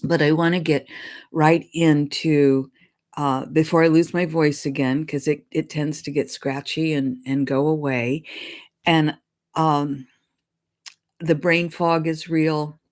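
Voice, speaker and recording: A woman psychic giving her weekly message in a casual way, her voice deep and hoarse from congestion as she recovers from illness. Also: fairly even intonation, slow pace. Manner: chatty, apologetic, clears throat. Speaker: psychic channeling a spirit guide.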